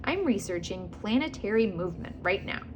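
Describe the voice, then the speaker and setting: A woman's voice: medium pitch, expressive, brisk, noisy background, close to an everyday voice. A woman voicing a busy, dismissive, pedantic male stargazer in a storybook.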